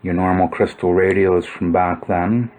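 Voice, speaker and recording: A man's voice: low-pitched, steady tone, unhurried, a little mellow, poor audio. A man giving informal explanatory commentary on old photos, musing and thinking aloud as he goes. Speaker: video narrator.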